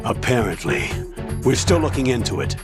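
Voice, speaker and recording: A man's voice: mid-range voice, big pitch swings, natural voice. Man acting a guarded military colonel, music underneath. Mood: guarded, firm. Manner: authoritative, measured. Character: authoritative older male military colonel, firm and guarded. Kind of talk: video game cutscene acting.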